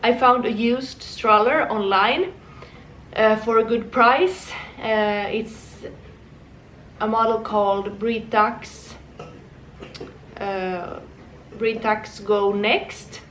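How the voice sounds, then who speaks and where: A woman's voice: a little high, steady tone, drawn-out words, pauses often, a bit bright, distant mic, a little hiss or hum. A young woman from Finland, chatting casually to her vlog viewers at home, rambling with small restarts and laughs. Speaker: vlogger. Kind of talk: casual chat. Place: home room. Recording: echoey room, voice from off-mic.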